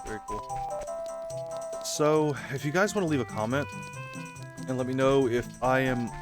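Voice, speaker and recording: A man's voice: mid-range voice, moderate pace. A man casually chatting as he plays through a video game, rambling with lots of fillers and talking straight to his viewers. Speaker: gaming video host. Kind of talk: casual let's-play commentary. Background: game music.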